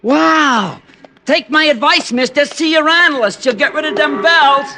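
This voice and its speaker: A brash young newsboy. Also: male voice, extremely high pitch, unhurried, over loud music. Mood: cheeky. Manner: shouting headlines, wisecracking. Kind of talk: 1950s radio drama acting.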